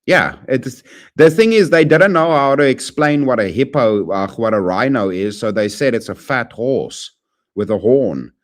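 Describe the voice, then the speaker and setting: Rambling streamer man, lively pitch. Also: measured pace. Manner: rambling, talks to chat, swings from loud to mumbled. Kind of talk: casual live-stream chat.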